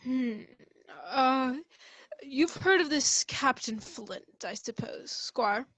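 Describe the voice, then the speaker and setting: Measured, shrewd doctor played by a woman, higher register, dry formal delivery for radio theatre. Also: animated, fairly bright tone, slight background noise, heavily acted. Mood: measured, probing. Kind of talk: radio play acting.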